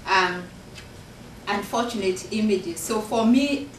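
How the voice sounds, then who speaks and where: A woman's voice: mid-range voice, slow pace, distant mic, a little hiss or hum. A woman from Kenya with a Kenyan accent, an author and professor talking earnestly about her book to an audience in a university function room. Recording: echoey room recorded from afar.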